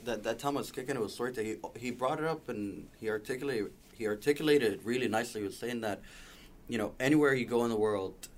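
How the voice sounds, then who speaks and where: A man hosting a podcast in relaxed, agreeable casual chat, often chiming in. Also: mid-range voice, fast. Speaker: podcast host.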